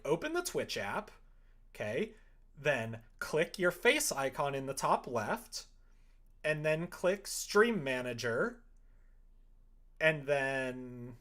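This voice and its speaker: A male live streamer with a somewhat high voice chats casually. His pitch swings widely as he tells a rambling story, acting out both sides of exchanges with exasperation. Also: fairly bright tone. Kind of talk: casual chat, rambling anecdote on a livestream.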